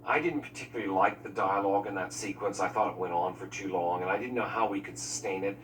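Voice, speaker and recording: A man with a low-pitched voice talks candidly about film editing in an interview. He is opinionated and plain about what works. He is recorded slightly off mic, with a faint hum. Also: quick pace, fairly bright tone, recorded from a distance. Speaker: film crew member discussing editing. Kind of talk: candid behind-the-scenes interview commentary.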